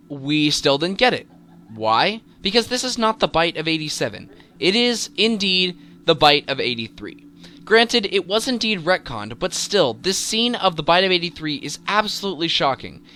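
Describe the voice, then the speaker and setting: A man's voice: on the high side, bright, forceful tone. A man hosting a scripted top-ten video with enthusiastic, emphatic commentary. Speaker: video creator and countdown host. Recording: music behind the voice. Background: music.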